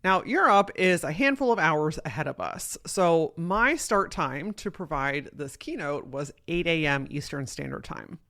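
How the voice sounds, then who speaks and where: Woman host, 30s, low deep voice, casual solo podcast storyteller who swears freely. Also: lively intonation, a little slow, few pauses, a bit bright. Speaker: podcast host and keynote speaker.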